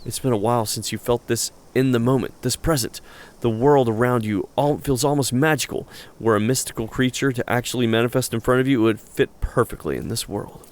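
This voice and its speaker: A man narrating a horror visual novel aloud as a host, with expressive storytelling. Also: medium pitch, brisk, a little hiss or hum. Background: background music with guitar.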